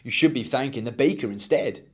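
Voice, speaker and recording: A man voicing a fond, modest mother in a children's story, with a soft manner. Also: mid-range voice, a little fast, close to an everyday voice.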